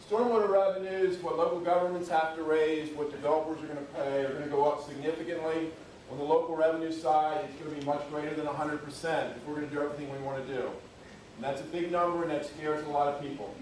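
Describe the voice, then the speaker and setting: A man's voice: a little high, darkish tone, slight background noise. A middle-aged man, giving a conference presentation in a conversational, emphatic way. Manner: folksy, conversational, emphatic on key points. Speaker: public works official giving a talk. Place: university lecture room. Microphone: speaking away from the microphone. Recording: very echoey room, voice far from mic.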